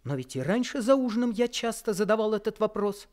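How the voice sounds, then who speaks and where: A man's voice: sits high, very animated, fairly quick, darkish tone. An actor playing a teenage boy who narrates his own story in an old radio play. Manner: earnest storytelling. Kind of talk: 1960s Soviet radio drama acting.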